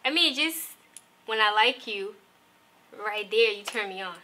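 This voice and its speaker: A woman guest on a talk show, speaking candidly and relaxed about dating. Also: medium pitch, measured pace. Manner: candid, relaxed, gives short agreeing replies. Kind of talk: casual talk show interview.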